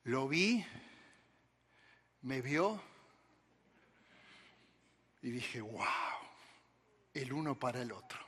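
A man's voice: medium pitch, drawn-out words, pauses often, husky, in a large hall. A man with an Argentine accent, a pastor delivering a sermon and talking straight to his congregation while he tells a personal story. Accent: Argentine Spanish. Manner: animated, storytelling preacher who addresses the congregation directly.

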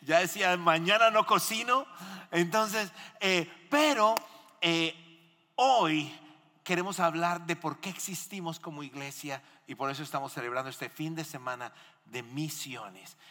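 A man's voice: a little high, measured pace, bright, forceful tone. A man speaking as a pastor and host in church, exhorting the congregation and putting questions to them. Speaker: pastor hosting a missions guest in church. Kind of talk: animated church interview and preaching. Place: large indoor church hall. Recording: echoey hall with long reverb.

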